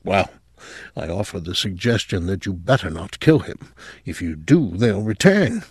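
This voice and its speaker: A man's voice: sits low, big pitch swings, moderate pace, no echo, exaggerated voice. A fussy male technician from an audiobook, acted by a male narrator. He explains in a pedantic, self-satisfied way, heard close in a dry recording. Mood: resigned. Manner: pedantic, explanatory, hesitant throat-clearing. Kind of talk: audiobook character voice.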